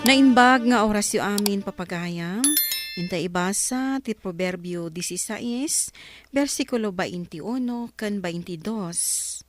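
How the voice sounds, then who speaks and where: A woman with an Ilocano Filipino accent giving a scripted radio drama announcement in deliberate phrases. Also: mid-range voice, faint music behind.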